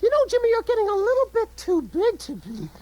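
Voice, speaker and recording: A woman playing a nagging, suspicious, crude 1950s mother in a comedic radio play, scolding in a shrill voice. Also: sing-song, quick pace, dark tone, highs cut off. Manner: scolding, prying, bossy. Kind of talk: comedic radio theater acting.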